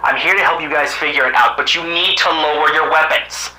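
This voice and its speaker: Man playing wisecracking cartoon cyborg animal hero, sardonic, very bright highish voice, echoing room. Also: brisk, slight background noise. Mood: insistent, earnest. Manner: sardonic, muttering to himself and exclaiming. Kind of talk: amateur animated drama voice acting. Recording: roomy, echoing voice track.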